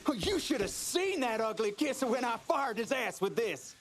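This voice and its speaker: An actor playing a brash, overconfident monster hunter in a video game, excited and boastful. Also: male voice, extremely high pitch, moderate pace, background noise. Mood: gleeful. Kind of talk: video game cutscene acting.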